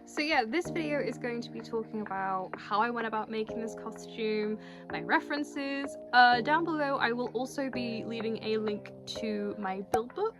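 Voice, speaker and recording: A young woman hosts a chatty vlog in a fairly high voice. She is expressive and bubbly, with casual slangy phrasing. Music plays clearly behind her. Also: moderate pace. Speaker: cosplay video creator and host. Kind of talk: chatty vlog introduction to camera.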